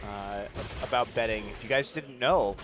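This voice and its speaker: A man's voice: medium pitch, normal speed, background noise. A man commentating on esports in casual banter, chuckling often and teasing drily.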